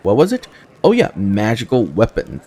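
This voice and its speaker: A man's voice: fairly low pitch, huge pitch swings, moderate pace, mellow, husky, exaggerated voice. A man voicing a plucky, plain-spoken young woman soldier from a fantasy game, with a breezy, wry manner. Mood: frank, chatty.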